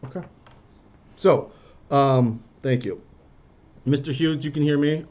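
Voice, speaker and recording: A man's voice: mid-range voice, takes it slowly, darkish tone, lo-fi sound. A man working as an attorney, questioning a witness over a video call and often restarting his questions mid-sentence.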